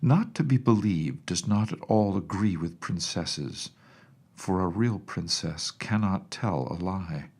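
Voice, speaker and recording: Deep, gravelly man narrating a storybook. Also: lively intonation, slow pace, darkish tone. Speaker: audiobook narrator. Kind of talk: expressive scripted storybook narration.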